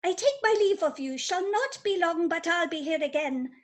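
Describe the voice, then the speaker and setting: Very high-voiced consoling noble cousin, played by a woman. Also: animated, normal speed. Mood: consoling, worried. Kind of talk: amateur Shakespearean radio play.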